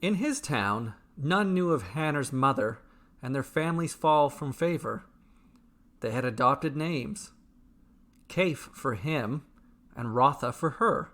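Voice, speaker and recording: A man reading a scripted fantasy story as its storyteller, in measured, dramatic, deliberately broken phrases. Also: medium pitch, expressive, fairly bright tone. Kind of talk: scripted fantasy bedtime narration.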